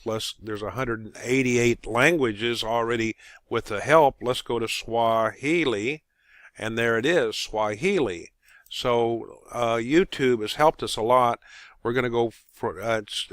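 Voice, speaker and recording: A man presenting an informal screen-recorded tutorial, talking haltingly as he thinks aloud through each step. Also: medium pitch, a bit bright, no room sound.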